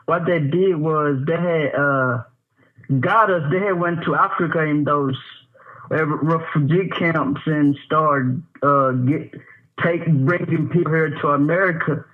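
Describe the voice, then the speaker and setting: A man in his twenties from Tanzania calls in over a tinny phone line. His voice sits fairly high, and he rambles and halts through his story with many fillers. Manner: rambling, halting, lots of fillers. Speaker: caller.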